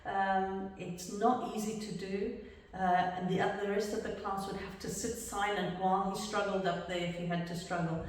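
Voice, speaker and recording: A woman who trains teachers for speaking exams, answering questions in a relaxed, chatty way. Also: mid-range voice, moderate pace. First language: English. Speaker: exam trainer. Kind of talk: casual Q&A discussion. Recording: echoey room from far off.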